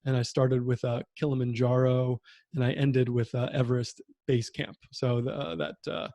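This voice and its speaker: A man in his thirties speaks in a mellow, relaxed tone, recounting his path in an unhurried, reflective way full of small pauses and fillers. The sound is dry and close, like a home video call. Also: medium pitch, dry recording. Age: 30s. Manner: reflective, storytelling with many fillers. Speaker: podcast host. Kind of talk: conversational interview. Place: home room with bookshelf.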